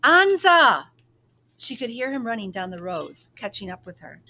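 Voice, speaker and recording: A woman's voice: medium pitch, expressive, fairly quick, gravelly, background noise. A woman storyteller reading a children's book aloud.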